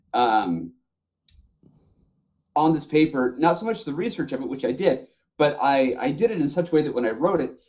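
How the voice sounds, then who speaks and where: A man's voice: medium pitch, darkish tone. A man around his forties co-hosting a casual group podcast chat, telling stories in a joking, sweary way. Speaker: podcast co-host. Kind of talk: casual group chat about movies and games.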